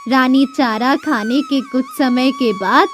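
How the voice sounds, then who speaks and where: Female storyteller for children's tale: very high voice, slow deliberate delivery, music underneath. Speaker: narrator. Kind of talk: scripted storytelling narration for a children's moral tale.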